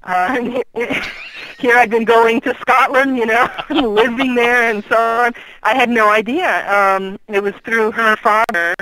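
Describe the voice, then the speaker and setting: Bright, chatty middle-aged woman. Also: a little high, fairly even intonation, a little slow, low-quality recording. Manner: chatty, rambling, with fillers and easy laughs. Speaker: guest author. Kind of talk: casual interview chat.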